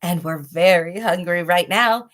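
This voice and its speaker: A woman voicing hungry, menacing tigers in a storybook read-aloud, with a taunting, deliberate delivery. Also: fairly low pitch, fairly bright tone. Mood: hungry, eager.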